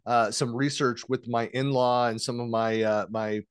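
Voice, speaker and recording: A man's voice: mid-range voice, steady tone, normal speed, smooth, dry recording. A man hosting an interview podcast, talking casually and rambling a little. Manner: rambling, many fillers and restarts. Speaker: podcast host.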